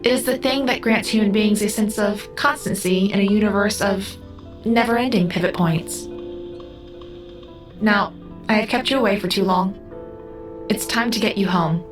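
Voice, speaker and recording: A woman's voice: mid-range voice, normal speed, long pauses, music in the background, natural voice. Woman voicing a young otherworldly girl guide, solemn and knowing, bit wry, audio drama. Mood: solemn, explanatory.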